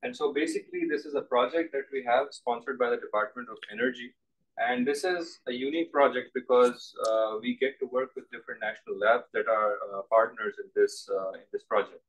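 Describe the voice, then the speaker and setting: A young man from Pakistan, a student researcher, explaining a project in a measured presentation style. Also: mid-range voice, low-quality recording. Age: young adult. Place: large open indoor lab.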